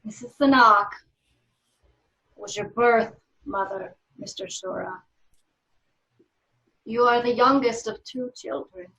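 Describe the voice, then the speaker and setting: A woman reading the part of a stern, formal law office official in a script table read, speaking deliberately with long pauses. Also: fairly high pitch, a bit bright, low-quality recording. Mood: grave, firm.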